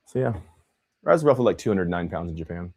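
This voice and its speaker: Young male host, loose slangy livestream banter, lively pitch swings. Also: fast talker. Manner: animated, slangy, says bro and man a lot. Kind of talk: casual livestream chat.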